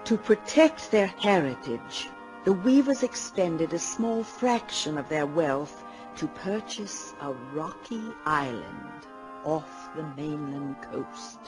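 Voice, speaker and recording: A man narrating an audio drama as a solemn, measured storyteller of legend. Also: fairly high pitch, lively intonation, music in the background, poor audio.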